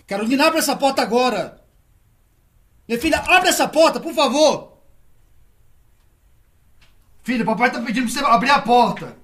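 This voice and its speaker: Man as exasperated father, insistent and repetitive; bright, very lively pitch. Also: normal speed, long pauses. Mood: pleading. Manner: insistent, repeating the name over and over. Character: exasperated father pleading at a locked door. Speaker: father and teacher.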